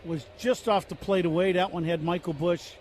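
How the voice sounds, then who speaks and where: A man giving relaxed, rambling baseball color commentary on the radio, full of fillers, speaking as a former player. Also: high voice, moderate pace, a little hiss or hum. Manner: rambling, filler-heavy analysis.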